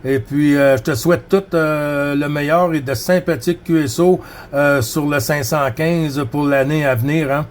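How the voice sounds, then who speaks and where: Quebec guy chatting on cb radio, kinda high voice, friendly and rambly. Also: fairly even intonation, normal speed. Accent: Quebec French. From Quebec, Canada. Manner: friendly, rambling, frequent Quebec filler words. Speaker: CB radio operator. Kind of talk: casual radio chat.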